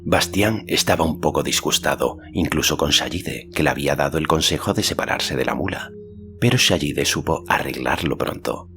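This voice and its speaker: A man's voice: a bass voice, moderate pace, creaky, fairly bright tone, a little hiss or hum. A man reading a fantasy novel aloud as scripted literary narration. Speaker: audiobook narrator.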